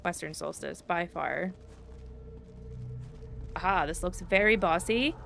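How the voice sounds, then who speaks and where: A woman's voice: on the low side, slow pace, creaky, fairly bright tone. A woman game streamer giving chatty, casual commentary as she plays, talking aloud to herself. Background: game music.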